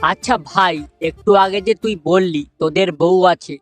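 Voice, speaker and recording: A man's voice: high voice, moderate pace, lightly acted. A man voicing a naive, slangy young married villager in a comedy cartoon, speaking in a crude, foul-mouthed way. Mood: puzzled. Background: loud music.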